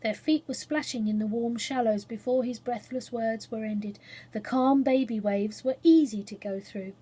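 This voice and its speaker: Woman, children's storyteller, expressive narration, high-pitched, slightly husky. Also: normal speed, poor audio.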